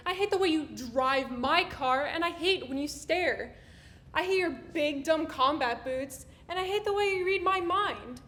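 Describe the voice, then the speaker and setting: A high-voiced teenage girl, hurt and in love acted by a woman. She recites an amateur dramatic monologue with measured emphasis, over a faint rumble. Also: fairly bright tone. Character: hurt, lovestruck teenage girl confessing through a rhyming list.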